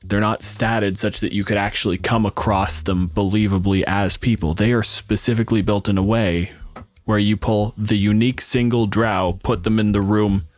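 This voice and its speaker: A man's voice: on the low side, fairly even intonation, normal speed, no room sound. A man chatting casually as a co-host, explaining his opinions in a blunt, sweary way. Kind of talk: casual opinionated panel chat.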